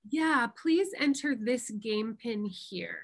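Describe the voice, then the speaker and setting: Slow-talking Canadian woman coach. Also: a little high, lively intonation, vocal fry. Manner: encouraging, unhurried teacher. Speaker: pronunciation coach. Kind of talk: online workshop lesson. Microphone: video call.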